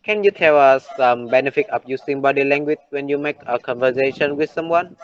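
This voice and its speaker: A teenage boy with a Vietnamese accent hosting a class talk show. He reads a prepared script in a steady presenter tone. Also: mid-range voice, a little fast, dull audio. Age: late teens.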